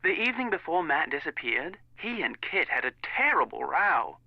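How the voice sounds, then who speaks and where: A man's voice: fairly high pitch, very animated, moderate pace, gravelly, dry recording, exaggerated voice. An actor playing a fussy, indignant Englishman in a video game, speaking formally with a British accent through a telephone filter. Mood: gossipy disdain. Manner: formal, pompous, clipped disapproval. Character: fussy, indignant English wedding guest on the phone. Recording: muffled narrow phone line sound.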